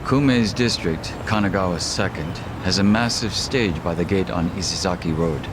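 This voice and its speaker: Male actor as cool underworld man, very low voice, terse, matter-of-fact, game cutscene. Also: moderate pace, background noise, lightly acted. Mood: cool, informative. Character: cool, serious, well-informed male underworld ally. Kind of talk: English game dub cutscene acting.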